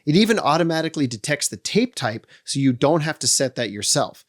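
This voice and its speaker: A man's voice: fairly high pitch, big pitch swings, brisk. A man hosting a product walkthrough, explaining features step by step with dry humorous asides. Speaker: host of a how-to channel on second-hand audio gear.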